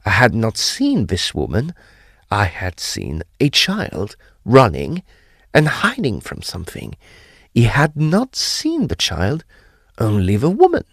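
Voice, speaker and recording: A man's voice: medium pitch, wildly swooping pitch, takes it slowly, creaky, a bit bright, no room sound, studio quality, full character voice. An actor playing a grave, intrepid ghost hunter, narrating his own ghost story in a theatrical, suspenseful way. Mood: bewildered. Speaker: actor narrating an audio drama. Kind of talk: dramatic first-person ghost story narration.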